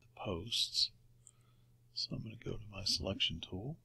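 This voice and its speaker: A man teaching digital painting in a quiet, unhurried screen-recorded tutorial, often dropping to near a whisper as he works. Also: low-pitched, vocal fry, breathy edge. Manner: unhurried, talks while working.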